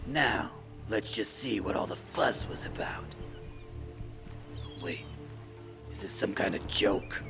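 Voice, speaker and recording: Man playing a low-voiced, smug adventure villain. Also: slow, creaky, pauses often. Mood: suspicious. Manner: taunting, theatrical, gloating. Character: smug, menacing treasure-hunting male villain with henchmen. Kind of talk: adventure radio drama acting. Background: music.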